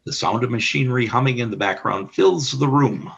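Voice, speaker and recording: A man narrating the stage action of an amateur radio play in an even reading. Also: medium pitch, a little fast, creaky, breathy edge.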